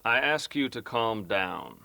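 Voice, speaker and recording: An actor playing a polite, controlled government agent, formal and placating, in a game cutscene. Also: male voice, lowish voice, lively intonation, unhurried, gravelly, pushed and bright, no room sound, exaggerated voice. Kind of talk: dramatic game cutscene acting.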